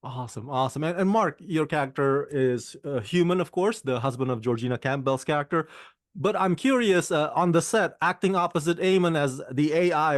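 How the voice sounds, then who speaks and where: Eager higher-voiced male interviewer. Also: no room sound, studio quality. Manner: eager, laughs often. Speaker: film interviewer, channel host. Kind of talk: enthusiastic interview questions.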